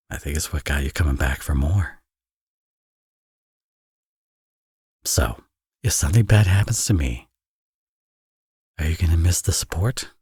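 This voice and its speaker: A man playing a teasing villain who is a tender father, in an intimate ASMR audio roleplay, with a husky, breathy, soft-spoken delivery. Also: a bass voice, no echo, studio quality, exaggerated voice. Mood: flirty, teasing.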